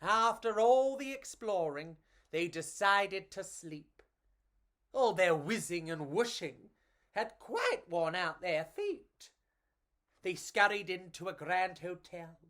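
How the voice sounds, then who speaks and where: A woman reading to children as a storyteller, with a theatrical, playful and measured delivery. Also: fairly low pitch, fairly bright tone.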